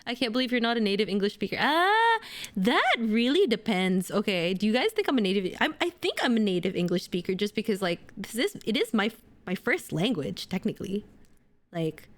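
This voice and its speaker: A woman's voice: medium pitch, very animated, a little fast. A young woman from Indonesia, chatting playfully as she streams herself building a model kit. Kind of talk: casual live-stream chat while building a model kit.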